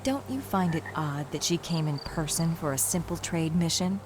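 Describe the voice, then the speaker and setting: An actress playing a sharp-tongued, suspicious young female warrior in a fantasy game, wry and pointed in delivery. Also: low voice, lively intonation, normal speed, noisy background. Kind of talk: scripted fantasy game acting.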